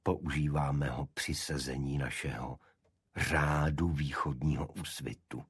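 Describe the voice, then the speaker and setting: A man voicing a small, dignified aristocratic cult leader in an audiobook, with a deep voice and a monotone, chant-like delivery. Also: slightly rough, no room sound, studio quality, full character voice. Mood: proud, pleased. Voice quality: unexpectedly deep. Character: small energetic nobleman, cult leader, dignified, secretive zealot.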